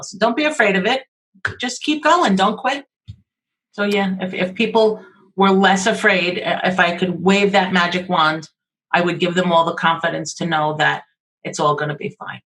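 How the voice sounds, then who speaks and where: A woman's voice: on the low side, normal speed, a bit bright, poor audio. A middle-aged woman from Queens with a New York accent, chatting as an interview guest and telling stories in a friendly way. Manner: friendly, storytelling with acted quotes. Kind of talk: casual interview chat.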